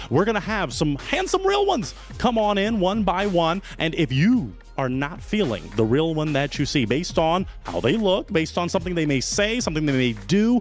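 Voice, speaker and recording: A man hosting a dating show, introducing it in a theatrical, showy announcer manner. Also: fairly high pitch.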